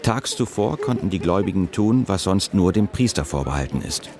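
A man reading a scripted documentary narration in a calm, measured way. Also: low-pitched, crowd noise. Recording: voice-over with music behind.

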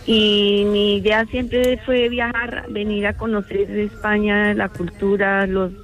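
A middle-aged Colombian-accented woman phones in to a radio show. Her voice sits at a medium pitch and she shares anecdotes informally, with soft music behind her. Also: flat delivery, takes it slowly, rough recording. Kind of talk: casual chat, personal anecdotes.

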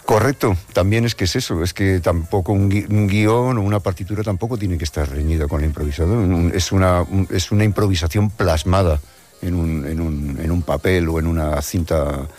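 A musician from Madrid, a man with a Madrid Spanish accent, answering radio interview questions in a thoughtful, halting way with frequent restarts. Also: low-pitched, a little mellow, soft background music. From Madrid, Spain. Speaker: musician guest.

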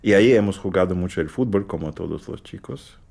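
A man with a Russian accent, speaking non-native Spanish in a radio interview, halting and searching for words. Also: low-pitched, gravelly, darkish tone. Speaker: guest pianist.

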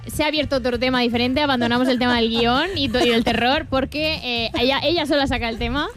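Higher-voiced Spanish woman in casual banter. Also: fairly even intonation, fast talker. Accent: Spanish from Spain.

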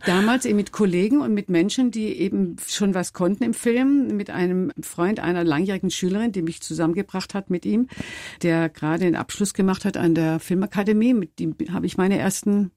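A woman's voice: medium pitch, a little fast, mellow, no echo. Searching, conversational woman guest. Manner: hesitant and searching for words. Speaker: guest, dance teacher and filmmaker. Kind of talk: conversational interview answers.

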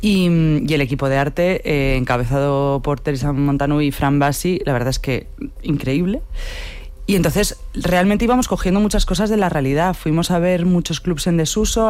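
A man's voice: fairly high pitch, a little mellow, clean tone, no echo. A man, a director, answering interview questions thoughtfully with frequent hesitations. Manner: thoughtful, hesitant, many "em" and restarts.

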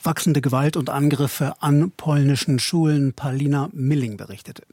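A male news presenter introducing reports in a crisp, formal way. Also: medium pitch, normal speed, a little mellow, dry recording, studio quality. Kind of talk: news anchoring.

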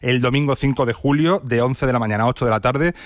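A man's voice: medium pitch, fast talker, dry recording, clean studio sound. A man hosting a radio interview, recapping details and using many fillers. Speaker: radio host.

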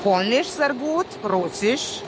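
Slow-speaking woman. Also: a little high, lively intonation. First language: Romanian. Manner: slow, listing speech. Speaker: cleaner. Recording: field recording with train noise.

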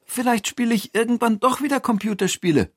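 A man's voice: very high-pitched, fairly quick, a bit bright, dry recording, studio quality, pitched above the performer's own voice. A man voicing a sensitive, hurt young child in a children's story, with a plaintive, whiny manner. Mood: relieved.

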